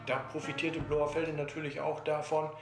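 Higher-voiced man over loud guitar music. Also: flat delivery, normal speed. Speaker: local resident interviewee. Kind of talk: recollecting in interview. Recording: echoey room under loud guitar music. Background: plucked guitar music.

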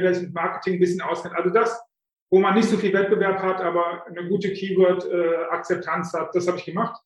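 A man in his fifties, a guest author coach telling his story in a chatty, self-deprecating way, with frequent filler sounds. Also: high-pitched. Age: 50s. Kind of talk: casual interview storytelling. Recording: very echoey room.

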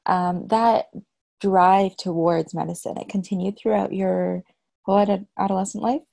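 A woman hosting an interview talk show, reading a scripted opening and then asking relaxed questions. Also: mid-range voice, normal speed, vocal fry, no room sound.

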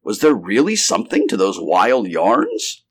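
A man's voice: on the low side, wildly swooping pitch, measured pace, breathy edge, voice pushed up, full character voice. A man voicing a brusque, skeptical male scientist in a story, with emphatic, wordy, learned phrasing. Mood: surprised. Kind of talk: acted story dialogue.

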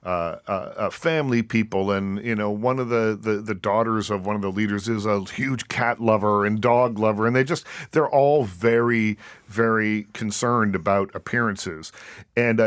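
A man with a lowish voice hosts a casual interview, talking in a chatty, easygoing way. He often restarts his sentences and laughs easily. The sound is dry and close. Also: normal speed, no echo. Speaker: interview host.

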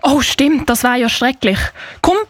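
A woman playing a bossy, adventurous young girl, a big sister, in an amateur radio play, brisk and urging. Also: sits high, no room sound, studio quality. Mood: concerned, curious.

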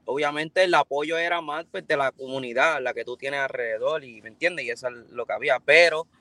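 A man's voice: medium pitch, fairly quick, sharp tone, no room sound. A Puerto Rican man, a breakdancer guest, speaking frankly and informally in a casual interview. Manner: frank, informal street talk.

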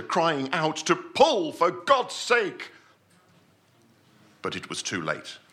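Man acting a pompous scientist, sharp and dramatic. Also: mid-range voice. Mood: urgent, then grave. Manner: formal, measured, theatrical. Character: pompous, composed male scientist narrating a sea tragedy. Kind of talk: dramatic live short-story reading.

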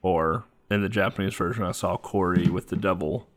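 A man's voice: sits low, fairly quick. A man casually commenting on a retro game he is playing, rambling with fillers and frequent laughs.

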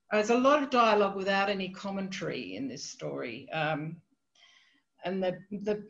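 A woman's voice: fairly low pitch, a bit bright. Hesitant Australian woman on a muffled line. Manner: hesitant, many ums and restarts, thinking aloud. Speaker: literary scholar guest. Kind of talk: podcast discussion of a novel.

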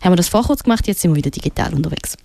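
A woman's voice: fairly low pitch, expressive, rapid-fire, gravelly, a little mellow, a little hiss or hum. A woman with a Swiss German accent hosting a music radio show in casual, informal, self-deprecating chat.

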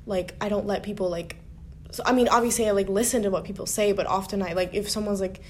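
Outspoken young woman, chatty and animated. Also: medium pitch, fast. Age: young adult. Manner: outspoken, filler-heavy, animated. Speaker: staff writer and podcast co-host. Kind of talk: casual roundtable discussion.

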